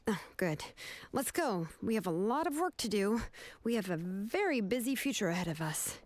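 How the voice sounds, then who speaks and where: An actress playing a caring, laid-back young artisan woman in a video game, speaking in a relaxed, reassuring manner. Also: mid-range voice, moderate pace, no echo, natural voice. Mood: easygoing.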